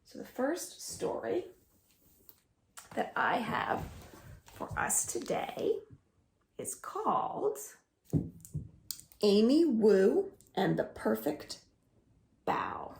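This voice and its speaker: A woman librarian reading a picture book and singing for young children at home, slow and coaxing, inviting them to join in. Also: a little high, wildly swooping pitch, creaky, a little mellow, echoey room. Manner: slow, singsong and coaxing, asks the listeners to join in.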